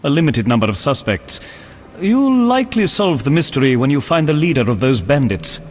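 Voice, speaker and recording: An actor playing an earnest, dignified knight in a video game, speaking in a formal manner. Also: male voice, mid-range voice, big pitch swings, brisk. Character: earnest, dignified male knight who hunts beasts. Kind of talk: video game character acting. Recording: long echo of a large hall.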